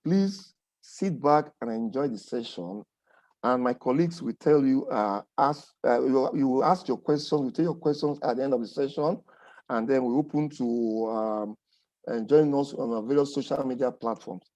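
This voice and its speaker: A man's voice: medium pitch, normal speed, darkish tone. A man hosting a webinar over a video call, courteous and welcoming. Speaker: host.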